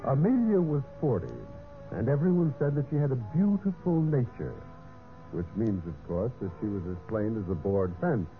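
An actor playing a vain, scheming general manager in a golden-age radio drama, with a smug, boastful, smooth way of talking. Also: male voice, medium pitch, lively intonation, normal speed, phone-quality sound, close to an everyday voice. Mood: smug narration. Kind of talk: 1940s-50s radio drama acting, narration and dialogue. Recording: band-limited vintage radio, music behind.

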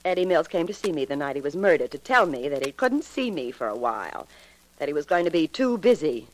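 A woman's voice: low-pitched, very animated, a little fast. An American woman acting a cool, flirtatious femme fatale suspect in a 1940s radio drama, sultry and guarded. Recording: noisy 1940s radio recording.

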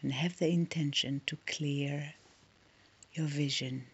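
A woman leading a guided meditation in a soft, soothing, breathy voice, leaving long pauses between short phrases. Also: low-pitched, fairly even intonation, muffled recording.

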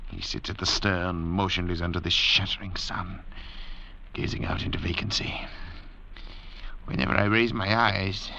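A man acting in a 1940s radio drama as a cold, haughty French doctor and murderer, speaking in a measured, oratorical way on an old radio recording. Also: low voice, gravelly, sharp tone, exaggerated voice. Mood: brooding. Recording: dull, noisy vintage radio recording.

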